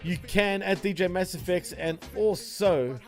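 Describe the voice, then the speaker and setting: A man's voice: sits high, a little slow, faint music behind. A man from Australia with an Australian accent, co-hosting a casual late-night wrestling podcast, laid-back and laughing often, swearing freely. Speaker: podcast co-host.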